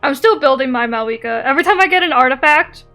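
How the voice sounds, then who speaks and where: A woman's voice: high-pitched, lively intonation, quick pace, pushed and bright. A woman streamer chatting casually and playfully with her viewers while she plays a game. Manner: playful, giggly, reading and answering chat. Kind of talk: casual livestream chat while gaming. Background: game music.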